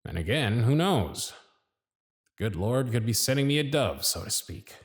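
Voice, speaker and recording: A man performing an ASMR roleplay as a jaded, sarcastic supervisor, weary and brusque, with moments where he softens into a quiet murmur. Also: mid-range voice, big pitch swings, moderate pace, slightly rough, no echo, clean studio sound.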